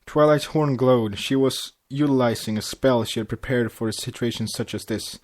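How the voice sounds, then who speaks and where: Man, amateur fan fiction narrator, mid-pitched voice, stumbles and corrects himself, podcast reading. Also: moderate pace.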